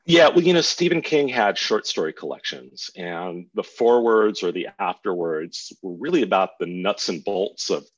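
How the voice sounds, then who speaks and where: A middle-aged American man with a husky voice, telling stories about himself as an interview guest in a casual, rambling, self-deprecating way. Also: low voice, animated, moderate pace, hardly any pauses. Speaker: guest author. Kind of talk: reflective interview storytelling. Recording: dull, roomy lo-fi sound.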